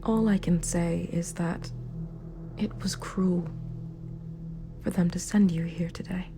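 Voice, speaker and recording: Villain ruler, fallen hero female actor: very even, unhurried half-whisper, regretful and dignified, ASMR roleplay over dark music. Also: on the low side. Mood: cold, sorrowful. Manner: hushed, breathy, measured, formal. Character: remorseful, sympathetic villain ruler, once a hero. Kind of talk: scripted ASMR roleplay monologue. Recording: clear background music. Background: dark, spooky background music.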